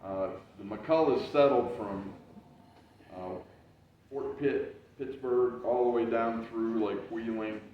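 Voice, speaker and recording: A man giving a casual library talk as a historical reenactor, with a loud voice and a loose, rambling way of telling, full of "uh"s and restarts. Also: mid-range voice, steady tone, takes it slowly, slight background noise. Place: library meeting room. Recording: very echoey, distant, dull room recording.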